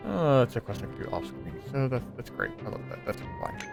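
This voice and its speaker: A man's voice: medium pitch, wildly swooping pitch, fairly quick, gravelly. A man giving casual, informal let's-play commentary, sometimes mumbling through text as he plays. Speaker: video game streamer. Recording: game music behind the voice.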